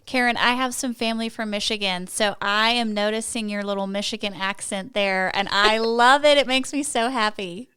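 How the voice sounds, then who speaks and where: A woman's voice: medium pitch, moderate pace, hardly any pauses, sharp tone, clean tone. A woman with a Texan accent co-hosting a podcast, bubbly, playful and effusive in a casual chat. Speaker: podcast co-host.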